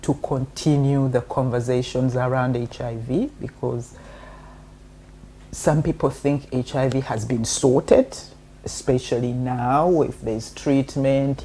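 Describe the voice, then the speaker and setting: A woman from Uganda, a guest explaining her book in a measured way with many fillers. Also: rumbling low, dark tone, a little hiss or hum. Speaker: guest, co-author. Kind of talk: interview answers.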